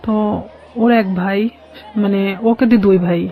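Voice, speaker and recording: A woman's voice: mid-range voice, fairly even intonation, moderate pace. Dull-sounding Bangladeshi woman telling her story. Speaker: radio show guest. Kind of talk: personal storytelling in an interview. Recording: slightly dull, lo-fi radio sound.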